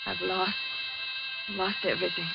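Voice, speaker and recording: An actress playing a fragile, frightened young woman who doubts her sanity, halting and repeating herself in 1940s radio suspense style. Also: on the low side, flat delivery, creaky. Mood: dazed, resigned. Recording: dull 1940s radio recording, dramatic music behind.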